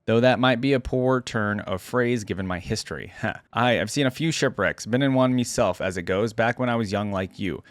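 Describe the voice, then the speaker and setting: A man's voice: on the low side, moderate pace, hardly any pauses, no room sound, studio quality, natural voice. Man reading as a weathered old sailor spinning tales. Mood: reminiscing. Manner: rustic pirate-like phrasing, conversational. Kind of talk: dramatic reading of a first-person sea tale.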